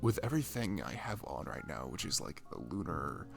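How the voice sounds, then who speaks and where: A man's voice: low voice, very animated, a little fast, vocal fry, faint music behind, full character voice. A man playing a shy, dorky best friend in an ASMR roleplay, chatting casually in a rambling, stammering, nerdy way. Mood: nerdy, excited. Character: dorky shy young male best friend, playful gamer.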